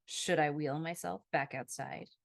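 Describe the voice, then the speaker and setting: A woman's voice: deep voice, steady tone, unhurried, creaky. An upbeat, pushy big brother, played by a woman narrator, teasing and cajoling. It is audiobook dialogue with playful familiarity.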